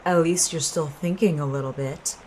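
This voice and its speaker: A woman playing a cold, scheming, murderous mistress in an audio drama, calm, commanding and coolly persuasive. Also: low voice, moderate pace, a little hiss or hum, lightly acted, rough recording.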